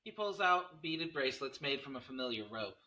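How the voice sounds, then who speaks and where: A man's voice: a little high, lively intonation, a little fast, pushed and bright. A man narrating stage directions and scene headings from a screenplay at a table read.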